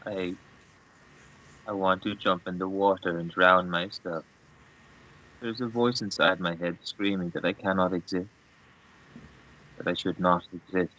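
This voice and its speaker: A man playing a wounded, despairing and broken man with halting, subdued delivery, in a dramatic table read. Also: sits low, fairly even intonation, pushed and bright, highs cut off. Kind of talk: screenplay table-read acting.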